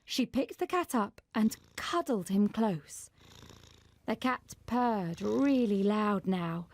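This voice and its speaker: A woman narrating a scripted children's story in an expressive storyteller's way, acting out the dialogue. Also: medium pitch, takes it slowly.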